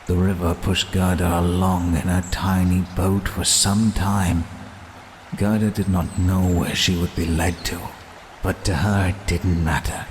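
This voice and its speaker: A man playing the narrator of a fairytale audio play, reading scripted storybook narration in a measured way. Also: deep voice. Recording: faint background noise.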